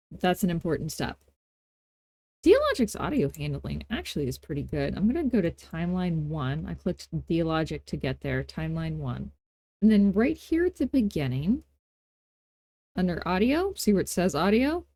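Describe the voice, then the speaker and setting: A woman with a lowish, mellow voice talks through a software tutorial as its host. Her manner is casual and playful, with folksy phrasing and little asides at her own expense. The recording is dry and close, without room sound. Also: big pitch swings, moderate pace, no room sound. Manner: playful, self-deprecating asides, folksy informal grammar.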